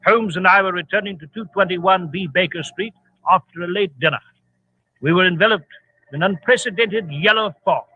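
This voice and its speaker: An actor playing a proper, easily baffled English gentleman companion with a British accent, blustery and fussy, in an old radio drama. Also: sits high, brisk. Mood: narrating. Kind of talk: 1940s radio acting. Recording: tinny, husky old radio recording.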